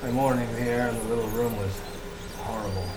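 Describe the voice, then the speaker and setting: Actor as a haunted lighthouse keeper, echoey sound. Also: male voice, medium pitch, steady tone, natural voice. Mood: hushed dread. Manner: dramatic, suspenseful storytelling with deliberate pauses. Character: grim, haunted male lighthouse keeper recounting horror. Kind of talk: audio horror drama narration and acting. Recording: echoey, noisy radio play sound.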